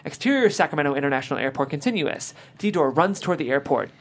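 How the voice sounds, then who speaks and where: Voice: mid-range voice, expressive, quick pace. A narrator reading the scene headings and action lines of a screenplay as scripted narration. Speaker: narrator reading stage directions.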